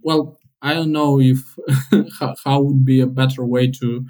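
A man's voice: medium pitch, flat delivery, a little slow, a little mellow. A young man in his twenties who is a podcast guest, speaking in a thoughtful, hesitant but enthusiastic way. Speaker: podcast guest, software developer. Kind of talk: casual podcast chat.

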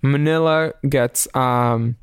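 A man's voice: mid-range voice, fairly even intonation, slow, clean tone, no room sound, studio quality. A man hosting a casual recap podcast, campy and snarky, often laughing at his own jokes. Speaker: podcast host.

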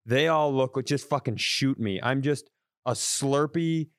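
A man chatting on a podcast with a smooth, resonant voice, heard up close and dry with no room sound. He tells wry, exaggerated stories and swears freely. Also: mid-range voice, measured pace, no echo. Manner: wry, exaggerated storytelling with profanity. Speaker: podcast co-host. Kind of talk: casual comedic chat.

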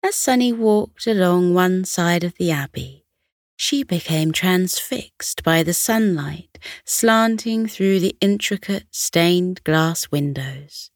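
Slow, low-pitched woman telling a bedtime story. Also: no room sound, studio quality. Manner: gentle, measured storytelling. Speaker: bedtime story narrator for children. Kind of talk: scripted bedtime story narration.

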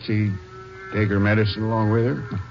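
An actor playing an outwardly devoted, anxious rancher husband, folksy and solicitous, in a 1950s radio western. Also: male voice, on the low side, level tone, moderate pace, soft background music, natural voice. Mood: worried. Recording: muffled, noisy 1950s radio broadcast.

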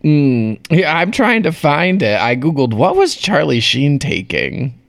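Gravelly, lively-voiced man, teasing. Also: fairly high pitch. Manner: teasing, quick to laugh. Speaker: podcast co-host. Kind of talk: casual chat.

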